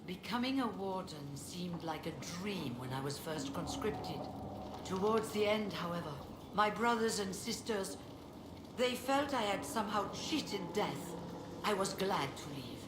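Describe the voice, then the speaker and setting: A woman voicing a weary, dignified mage leader in a video game, speaking in a measured, formal, reflective way. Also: mid-range voice, expressive, close to an everyday voice. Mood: wry, reflective. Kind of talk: video game dialogue acting. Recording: roomy echo, clearly noisy game capture.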